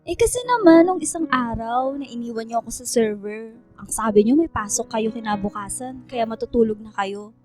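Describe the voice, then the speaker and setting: A woman acts out a lovestruck young student girl with a high voice, sensitive among her friends. It is radio drama dialogue with light music behind. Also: lively intonation, normal speed, a little mellow, natural voice. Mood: apologetic.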